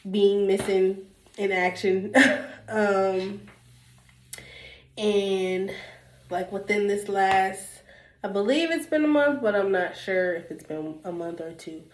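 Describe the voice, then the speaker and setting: A woman's voice: mid-range voice, slow pace, pauses often. Stuffy-nosed young woman in an echoey room. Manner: rambling and candid, many ums and restarts. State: stuffy nose from a cold. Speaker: YouTuber. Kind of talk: casual sit-down talk to camera.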